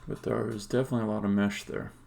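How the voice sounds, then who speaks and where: Gravelly low-voiced man thinking aloud. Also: flat delivery, very fast, darkish tone. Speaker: game developer streamer. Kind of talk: casual live-coding commentary, thinking aloud. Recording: faint background noise, keyboard clicking.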